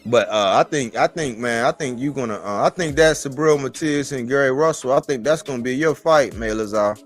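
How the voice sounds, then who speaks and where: A man's voice: mid-range voice, a little fast, faint music behind. A man in his forties talking casually as a sports commentator on a live stream, in colloquial language and repeating phrases for emphasis.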